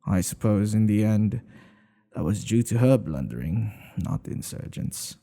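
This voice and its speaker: A man acting as an older king in an intimate ASMR roleplay, tender and teasing with his secret lover. His voice is low and mellow with a gravelly fry. He phrases things in a courtly, regal way, breathy and unhurried. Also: animated, exaggerated voice. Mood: frustrated, confiding. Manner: courtly formal phrasing, flirtatious and playful.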